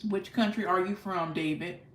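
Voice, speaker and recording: A fairly low-pitched American woman in a roomy, echoey recording, hosting a casual livestream. She chats effusively with viewers in folksy slang, often doubling up her thanks and affirmations. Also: moderate pace, a bit bright, slight background noise. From United States. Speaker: livestream host.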